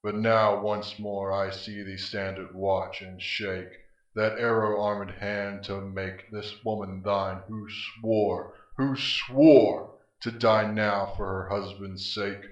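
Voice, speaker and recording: Guy playing grim death spirit in old verse play, low theatrical voice, muffled echoey room. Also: steady tone, takes it slowly. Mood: indignant. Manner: archaic, theatrical, defiant. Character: Death, grim scornful spirit claiming the doomed. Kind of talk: verse stage drama, declamatory. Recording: muffled, echoey, distant from mic.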